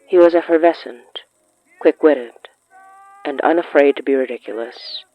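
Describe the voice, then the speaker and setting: A narrator of middling pitch reads a scripted story. The recording is somewhat dull and lo-fi, with music playing faintly behind the voice. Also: normal speed, no echo. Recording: muffled lo-fi sound with music.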